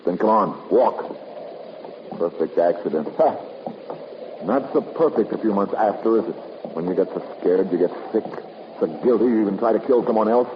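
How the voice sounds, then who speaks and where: An actor with an American accent playing a tough, sardonic investigator in a 1950s radio drama, clipped and accusing. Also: male voice, lowish voice, moderate pace, natural voice. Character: tough, wisecracking male private investigator. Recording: noisy, muffled 1950s radio recording.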